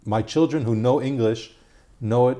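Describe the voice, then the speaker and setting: A middle-aged man with an Israeli accent, a guest and tour guide, talking casually in a measured, slightly halting way. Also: medium pitch, dark tone. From United States. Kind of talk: casual interview conversation.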